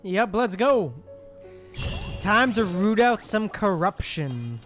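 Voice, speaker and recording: Woman streamer, medium pitch, very lively intonation, casual gaming commentary, playful, faint music. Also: slow pace. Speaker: game streamer. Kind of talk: casual let's-play commentary, reading dialogue choices aloud. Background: faint game music.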